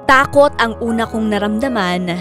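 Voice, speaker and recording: An actress playing a wounded daughter telling her life story in measured, sorrowful Filipino radio drama narration. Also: a little high, close to an everyday voice. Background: prominent piano music.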